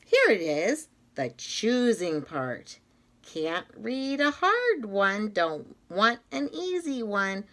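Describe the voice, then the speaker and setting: Slow, very animated woman reading aloud. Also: mid-range voice. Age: middle-aged. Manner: expressive, sing-song, rhythmic, drawn-out phrases. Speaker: teacher reading a picture book aloud. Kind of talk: read-aloud of a children's story. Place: small indoor classroom-style room.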